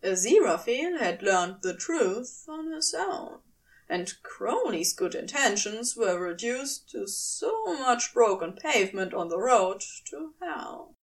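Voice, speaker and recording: A woman's voice: fairly high pitch, sing-song, slow pace, distant mic. A woman reading fiction aloud as dramatic scripted narration. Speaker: fiction reader.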